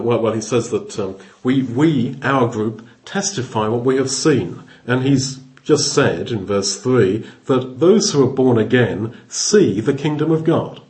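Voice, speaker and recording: Guy teaching bible verses, mid pitch, thinks aloud, restarts a lot. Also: a little mellow, poor audio. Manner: thoughtful, restarts phrases, explains word by word.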